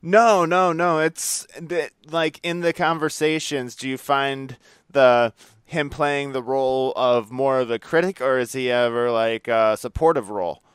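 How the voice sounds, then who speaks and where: Clear-voiced man, very dry sound. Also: mid-range voice, steady tone, unhurried, a bit bright, no echo. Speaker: podcast host. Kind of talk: casual interview chat.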